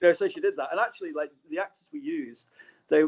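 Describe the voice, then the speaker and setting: A man's voice: a little high, fast, crowd noise. A man speaking as a guest film director in a casual interview, hesitant and often correcting himself, on slightly dull remote audio.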